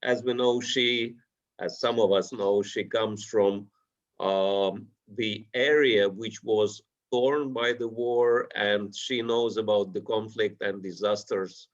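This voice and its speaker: A man moderates an online panel, introducing the next speaker at a slow pace. His voice is fairly low and his phrasing is formal and slightly halting. The audio is dull and lo-fi. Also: fairly even intonation.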